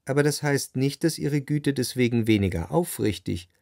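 An actor playing a reflective first-person narrator in scripted literary radio narration. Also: male voice, mid-range voice, expressive, normal speed, creaky, darkish tone.

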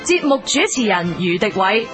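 A woman playing a formal, brisk and absurdly biased school quiz host in a comedy radio sketch, with a Hong Kong Cantonese accent. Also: mid-range voice, animated, close to an everyday voice. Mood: brisk, deadpan. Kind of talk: comedy radio sketch as game show hosting. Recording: music behind the voice.

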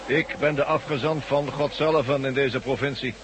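A man's voice: medium pitch, steady tone, normal speed, natural voice. Man playing smug zealot envoy, noisy recording. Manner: self-assured, fanatical. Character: smug, devout henchman and envoy of a false god. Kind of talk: science-fiction radio drama acting. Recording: noisy old radio recording.

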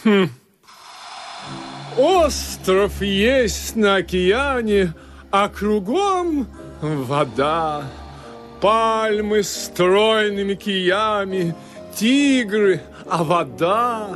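An actor playing an eccentric, earnest islander poet who declaims absurd verse in a radio comedy. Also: male voice, high-pitched, lively intonation, drawn-out words, fairly bright tone, exaggerated voice. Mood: solemn, declamatory. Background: music behind the voice.

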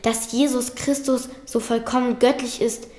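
Woman as earnest young scholar girl, high voice. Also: level tone, normal speed, darkish tone, lightly acted. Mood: assured recital. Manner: precise, formal, respectful. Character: earnest, learned, bold young medieval girl. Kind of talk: German radio drama acting. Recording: roomy echo, faint music behind.

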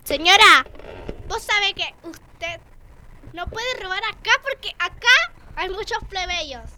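Woman acting a spoiled, very high-voiced little girl. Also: takes it slowly, bright, forceful tone, exaggerated voice. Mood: bossy. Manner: whiny, imperious. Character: spoiled, bossy little daughter of a rich lady. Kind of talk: improvised theatre exercise. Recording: noisy amateur recording with clicks.

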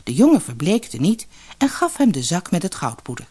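A woman reading a children's fairy tale as an expressive audiobook narrator who acts out the dialogue. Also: lowish voice, normal speed, breathy edge.

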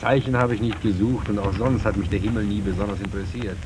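A man's voice: fairly low pitch, steady tone, fast talker, a crowd in the background, lightly acted. A man playing a dreamy, defiant mine worker with bitter irony, musing and theatrical in an old German radio drama. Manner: musing, theatrical, swinging from soft wonder to outcry. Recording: noisy archival radio play recording.

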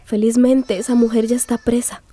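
A woman's voice: a little high, little pitch movement, moderate pace, dark tone, slight background noise, close to an everyday voice. An actress playing a timid, wounded teenage country girl in a radio drama, plaintive and meek. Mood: relieved.

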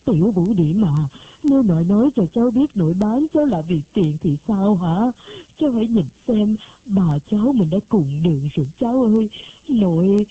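A woman's voice: medium pitch, lively intonation, moderate pace, slightly rough, no echo. A woman voicing a sick, anxious elderly grandmother who gives insistent, urgent instructions in a storybook reading. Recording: tinny phone-like recording.

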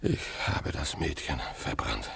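An actor playing an executioner who shouts frantically in guilt, heard from a distance in a radio play. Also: male voice, a bass voice, expressive, a little slow, creaky, noisy background, full character voice. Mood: anguished. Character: guilt-stricken male executioner, desperate.

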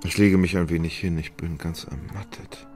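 An actor playing a lethargic, fretful Russian gentleman in a radio play, querulous and full of whining self-pity. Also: deep voice, steady tone, moderate pace, natural voice. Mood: weary. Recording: music behind the voice.

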